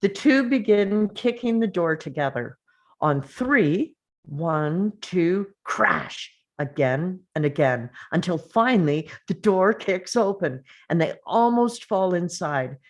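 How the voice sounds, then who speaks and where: A woman narrating the action lines of a screenplay at a table read, steady and measured. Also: lowish voice.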